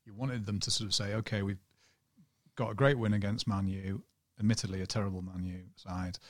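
A man with a Northern English accent, a journalist guest on a casual podcast, talking in a flat, self-described monotone and rambling a little. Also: lowish voice, brisk, dry recording, studio quality.

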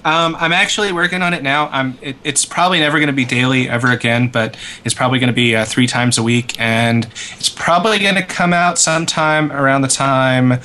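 A man's voice: mid-range voice, steady tone, brisk, few pauses, sharp tone, slight background noise. A man co-hosting a podcast in casual, joking conversation. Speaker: podcast co-host.

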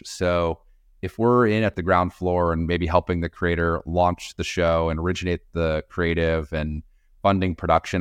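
A man's voice: low voice, smooth, no room sound, clean studio sound. A man answering interview questions about his company, thoughtful, with fillers and restarts. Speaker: podcast network executive.

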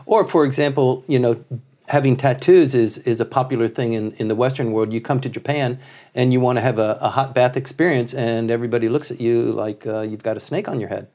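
A man's voice: mid-range voice, a little fast, dark tone. A man acting as interviewer, speaking in a conversational style. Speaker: interviewer, host.

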